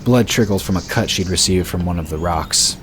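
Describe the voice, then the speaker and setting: A man narrating a fantasy story in a steady, measured storytelling voice. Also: sits low, background noise. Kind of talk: scripted audiobook narration.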